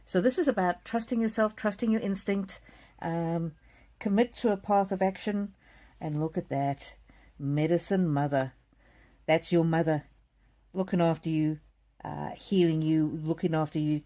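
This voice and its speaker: A middle-aged woman from South Africa giving a gentle live oracle card reading, speaking softly and addressing viewers by name. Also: deep voice, steady tone, measured pace. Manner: gentle, soothing, talks straight to viewers by name. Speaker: card reader.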